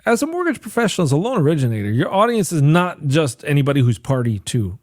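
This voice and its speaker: A man's voice: on the high side, big pitch swings, fast, no echo, studio quality. A young man co-hosting a mortgage podcast, explaining things in an easygoing, joking way. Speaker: podcast co-host, loan officer. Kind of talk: casual chat.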